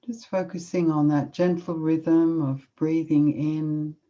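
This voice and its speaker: A woman with an Australian accent, gently guiding listeners through a meditation in a slow, soothing way. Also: deep voice, fairly even intonation. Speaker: guest speaker leading meditation. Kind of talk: guided meditation. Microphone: video call. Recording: dull lo-fi video call audio.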